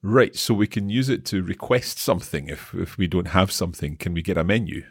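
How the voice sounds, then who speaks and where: A man's voice: low voice, lively intonation, a little fast, husky, no echo, studio quality. A man hosting a language lesson as a learner, talking hesitantly with many restarts and asking curious questions. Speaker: language podcast host, learner. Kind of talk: casual language lesson chat.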